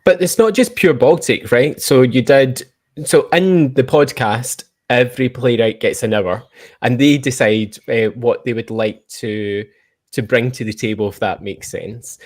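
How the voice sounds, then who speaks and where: A man with a medium-pitched voice hosts an informal podcast chat. He puts questions loosely and conversationally, rewording them as he goes. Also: unhurried. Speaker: podcast host. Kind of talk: casual interviewing.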